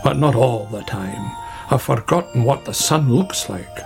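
Grumbling woodland badger, played by a male narrator: weary, creaky, low-key, music underneath. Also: animated, a little fast. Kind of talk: children's storybook character.

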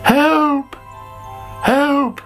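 A woman plays a greedy little boy caterpillar in a children's storybook. The voice is pitched up and whiny, swinging widely as it exclaims. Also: lively intonation, measured pace, soft background music. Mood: panicked. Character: greedy young male caterpillar, cheeky then miserable.

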